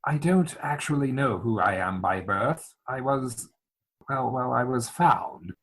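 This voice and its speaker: A man acts out an earnest young gentleman in a stage comedy. His voice is slightly rough and moves up and down a lot, with formal, hesitant phrasing and an anxious, deferential temper. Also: medium pitch, lively intonation, a little slow, full character voice. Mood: sheepish. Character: earnest, flustered young English gentleman suitor, polite and anxious. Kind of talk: theatrical comedy dramatic reading.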